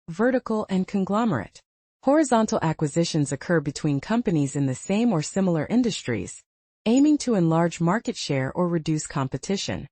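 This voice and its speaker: Presenter reading a lesson, lively intonation. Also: mid-range voice, dry recording. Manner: formal, reading from a script. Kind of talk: scripted educational narration.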